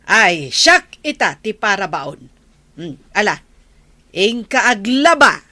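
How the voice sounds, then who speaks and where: A woman's voice: medium pitch, sing-song, takes it slowly, sharp tone, no room sound, exaggerated voice. A woman with a northern Philippine accent playing a bossy, vain, nagging village wife in an audio comedy. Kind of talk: comedy audio drama acting.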